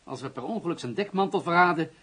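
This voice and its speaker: A man's voice: a little high, lively intonation, fast talker, a bit bright. An actor in a Dutch radio play playing a formal police commissioner who firmly and reasonably argues his case. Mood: insistent. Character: male federal police commissioner firmly defending undercover methods.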